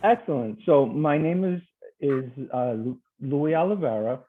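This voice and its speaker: A man's voice: on the high side, expressive, measured pace. Male teacher on a tinny video call. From Portugal. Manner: friendly, hesitant, with many fillers. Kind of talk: presenting slides on a video call.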